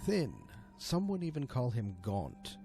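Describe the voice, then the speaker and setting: A man narrating a scripted horror story in a theatrical manner, with deliberate dramatic pauses. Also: medium pitch, very animated, dark tone. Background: music under the voice.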